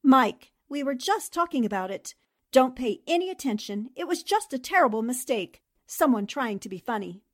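An actress playing a spirited, indignant newspaper columnist in a theatrical 1940s radio-drama style. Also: female voice, high voice, moderate pace. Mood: anxious. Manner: expressive, theatrical. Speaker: actor in an audio drama.

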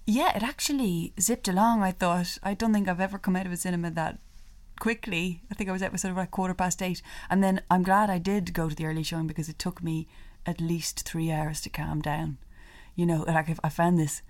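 A woman's voice: on the low side, steady tone, fairly quick, hardly any pauses, darkish tone. A woman co-hosting a culture podcast, chatting casually, laughing often and restarting her sentences. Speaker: podcast co-host. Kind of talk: casual chat.

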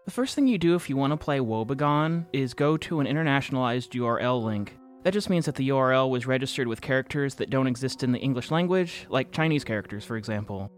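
Audio drama lead: male actor as a sardonic, guarded young guy, mid-pitched, confessional, music underneath. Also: lightly acted. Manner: dry, self-deprecating, sardonic. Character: wry, guarded young man confessing about a secret online game. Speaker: narrator and protagonist of an audio fiction podcast. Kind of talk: first-person confessional podcast narration, conversational. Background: instrumental music.

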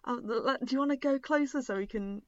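A woman's voice: high voice, quick pace, no room sound, dull audio. A woman co-hosting an improvised comedy podcast, riffing goofily and laughing easily. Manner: goofy, playful, laughs easily. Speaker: podcast co-host.

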